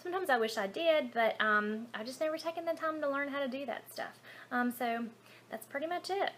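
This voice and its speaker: Female beauty vlogger, Southern US accent, bright and high-ish voice, casual rambling Q&A delivery. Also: brisk, a little hiss or hum. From Alabama, United States. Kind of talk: casual chatty Q&A to camera.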